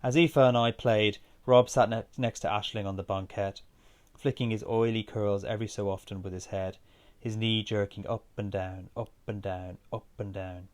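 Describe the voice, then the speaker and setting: A man's voice: fairly low pitch, fairly even intonation, normal speed, a little mellow. A man reading his own literary short story as scripted narration.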